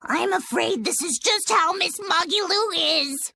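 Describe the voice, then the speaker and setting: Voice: lively intonation, unhurried, creaky, pushed and bright, dry recording, full character voice. An actor voicing a small, whiny, melodramatic spirit creature in a video game cutscene. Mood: plaintive. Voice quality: squeaky, cartoonish. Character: small whining timid male spirit creature servant.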